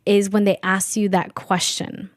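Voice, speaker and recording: A woman's voice: medium pitch, flat delivery, unhurried, dry recording, studio quality. A young woman who grew up in Guatemala with a Mayan first language, talking thoughtfully and a bit hesitantly on a youth radio show. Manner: thoughtful, reflective, some hesitation. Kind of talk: casual conversation.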